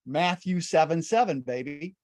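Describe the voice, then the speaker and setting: A man's voice: a little high, animated, normal speed, a little mellow, no echo. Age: middle-aged. Sex: man. Native: Spanish. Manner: folksy, upbeat. Mic: dry video call. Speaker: real estate investing teacher. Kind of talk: casual talk over video call.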